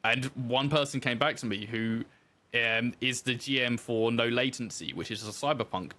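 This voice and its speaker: A man's voice: mid-range voice, moderate pace, bright, forceful tone. A man talking casually as a guest in a podcast interview, telling anecdotes with self-deprecating asides.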